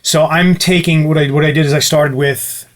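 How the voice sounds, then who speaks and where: A man's voice: a little high, steady tone, normal speed. Casual man explaining studio gear. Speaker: music producer demonstrating gear. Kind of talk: casual explanatory tutorial talk.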